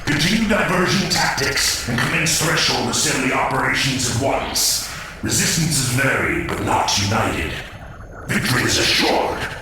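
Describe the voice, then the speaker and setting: A man's voice: mid-range voice, normal speed, slight background noise. A man voicing an imperious, stubborn alien foreman who issues terse, formal orders in dramatic game acting. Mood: commanding. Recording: heavy echo, distant, loud music.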